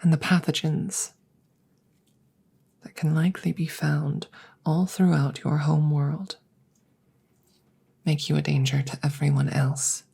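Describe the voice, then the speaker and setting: A woman playing a detached, formal alien scientist in an ASMR audio roleplay, speaking in a measured, breathy way that slips into whispers. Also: low voice, flat delivery, dark tone, full character voice. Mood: clinical, matter-of-fact.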